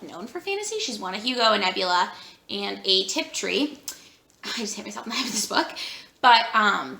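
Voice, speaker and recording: A woman vlogger talking casually about books she read, rambling with lots of fillers and a voice that is going hoarse. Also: medium pitch, bright, forceful tone, roomy sound. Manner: chatty, rambling with many fillers. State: losing her voice. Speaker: book vlogger. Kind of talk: casual vlog chat.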